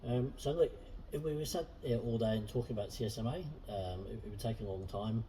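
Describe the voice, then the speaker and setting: A man hosting a casual interview chat, relaxed and friendly with frequent fillers. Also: medium pitch, a little fast, far from the mic, slight background noise, rough recording. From Southern Hemisphere. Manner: relaxed, friendly, many ums. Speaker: host.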